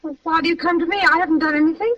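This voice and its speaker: Evasive widow,, vintage radio mystery: high, tense, flustered, on a muffled old recording. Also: female voice, fairly even intonation, very fast, a bit bright. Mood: startled, anxious. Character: guilty, evasive widow, anxious and secretive. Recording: distant, noisy, band-limited vintage radio recording.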